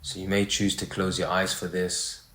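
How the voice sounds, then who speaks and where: A man leading a guided meditation, speaking in short phrases with pauses between them. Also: low-pitched, flat delivery, sharp tone, slight background noise. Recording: roomy, echoey sound.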